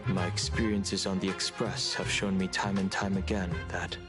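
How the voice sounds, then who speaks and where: A man playing a calm, guarded young traveler in a video game, polite, formal and firm, with music behind him. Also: low-pitched, moderate pace, close to an everyday voice. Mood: measured, wary.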